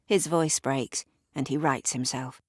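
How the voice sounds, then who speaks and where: Slow, low-voiced woman narrator. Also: fairly even intonation, no echo, studio quality. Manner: deliberate, dramatic storytelling. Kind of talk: literary scripted audio drama narration.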